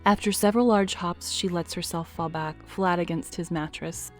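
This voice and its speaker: A woman narrating an audio drama in a soft, storybook manner. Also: lowish voice, fairly even intonation, moderate pace, faint music behind.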